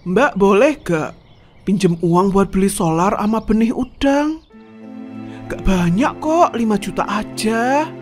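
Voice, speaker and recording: A woman voicing a loud, pushy older sister-in-law in a cartoon drama. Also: mid-range voice, unhurried, faint music behind, close to an everyday voice. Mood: wheedling. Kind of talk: cartoon voice acting.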